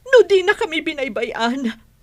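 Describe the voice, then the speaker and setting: A woman's voice: high voice, big pitch swings, measured pace, no room sound. An actress playing a frightened woman who pleads and regrets what she did. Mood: anxious.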